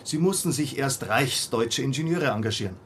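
A man's voice: mid-range voice, lively intonation, moderate pace, fairly bright tone, lightly acted. Man as a chatty local, slightly distant. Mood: eager, chatty. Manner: chatty, explaining earnestly. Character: talkative, eager local man, easily cowed. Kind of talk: German radio play dialogue. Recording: distant, with faint ambient noise.

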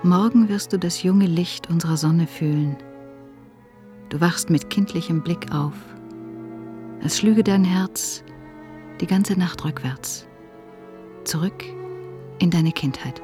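An actress playing a tender, wistful woman in a lyrical, intimate radio drama monologue. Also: lowish voice. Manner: slow, lyrical, intimate delivery. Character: tender, wistful woman addressing a sleeping lover. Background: music.

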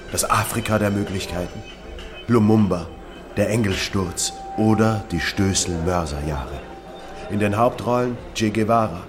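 A man's voice: on the low side, lightly acted. An actor playing an earnest, idealistic male writer in a radio play. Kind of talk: German radio play dialogue. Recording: music behind the voice. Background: music.

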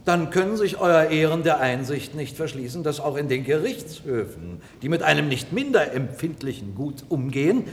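An actor playing a persistent, courtly defence lawyer in a German radio drama, speaking formally and emphatically. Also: male voice, on the high side, sing-song, moderate pace, few pauses, slight background noise. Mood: courtly, persuasive. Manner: formal, rhetorical, emphatic delivery. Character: male defence lawyer, eloquent, persistent, courtly, pleading for robots. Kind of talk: 1960s-1990s German radio drama acting. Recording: reverberant hall, old radio play.

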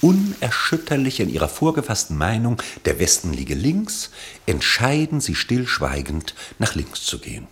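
A man narrates a radio play as a literary storyteller. His low voice has a gravelly edge and moves expressively up and down, with an ironic, elevated delivery. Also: huge pitch swings, moderate pace, a bit bright.

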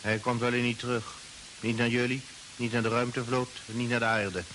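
A man's voice: mid-range voice, little pitch movement, moderate pace, fairly bright tone, close to an everyday voice, rough recording. An actor playing a coldly insistent, mind-controlled man in an old science-fiction radio drama, commanding and emotionless. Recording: hissy old radio recording.

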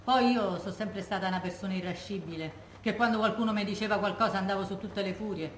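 An actress playing a proud, irascible, solitary Roman war widow, giving blunt colloquial testimony in a Roman accent for the theatre. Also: female voice, mid-range voice, fast talker, lightly acted. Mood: resigned, wry. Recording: echoey room, faint background noise.

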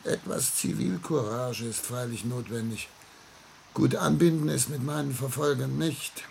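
An actor playing a distraught, outcast older gentleman in a German radio play, theatrical and bitter, swinging between hushed tones and outbursts. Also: mid-range voice, unhurried, gravelly. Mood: hushed, weary. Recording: faint noise on old radio recording.

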